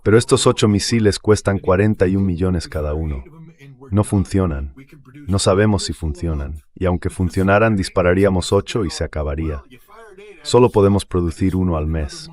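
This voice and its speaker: A man's voice: deep voice, normal speed, a little mellow. A man reading a dubbed political and military commentary in an emphatic, explanatory way, in short declarative sentences. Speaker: translated voice-over of an interview guest.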